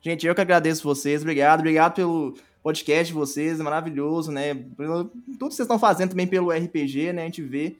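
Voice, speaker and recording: A young Brazilian man chatting casually as an interview guest, informal and halting, often repeating words. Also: fairly high pitch, faint music behind. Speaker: podcast guest, audio drama creator.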